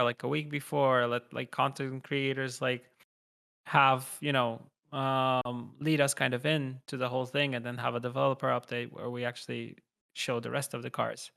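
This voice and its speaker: A man's voice: medium pitch, vocal fry, a bit bright, clear, resonant tone. A man organizing the event, rambling loosely with many fillers in a casual panel chat.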